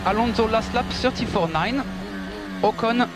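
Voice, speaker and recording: A man's voice: sits high, moderate pace, over loud music. A man working as a race engineer, giving polite, clipped technical instructions and gap updates over team radio. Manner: polite, instructive, reporting numbers and gaps. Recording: noisy team radio with engine and vehicle noise. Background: loud car and engine noise.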